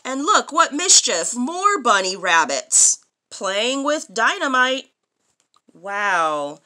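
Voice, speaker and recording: A woman in her thirties reading a picture book aloud to a young child in an expressive, sing-song way, adding questions and comments. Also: fairly high pitch, takes it slowly. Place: small furnished room indoors.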